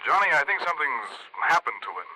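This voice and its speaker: A man's voice: fairly high pitch, sing-song, quick pace, bright, forceful tone, dry recording, heavily acted, poor audio. An actor playing an earnest, fretful insurance man in a 1950s radio drama, speaking emphatically. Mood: worried.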